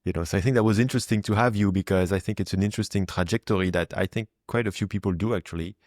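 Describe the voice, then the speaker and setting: A man's voice: on the low side, fairly even intonation, very fast, a little mellow, no room sound, clean studio sound. A man hosting a podcast, chatting casually and rambling with fillers. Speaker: podcast host. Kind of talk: casual interview chat.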